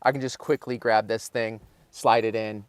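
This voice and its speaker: A man's voice: on the low side, flat delivery, normal speed, clear, resonant tone, no echo. A man giving a casual how-to, thinking aloud informally as he works with his hands. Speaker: host of a car installation how-to channel. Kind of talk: casual hands-on tutorial, talking through the work.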